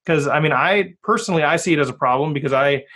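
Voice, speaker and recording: A man's voice: fairly high pitch, fast, fairly bright tone. A man hosting a podcast interview, joking and rambling with many restarts. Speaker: podcast host. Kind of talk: casual interview.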